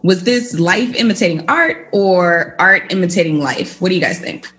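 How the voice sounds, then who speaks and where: A woman co-host speaking in a chatty, animated way. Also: fairly low pitch, moderate pace, faint music behind. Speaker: podcast co-host.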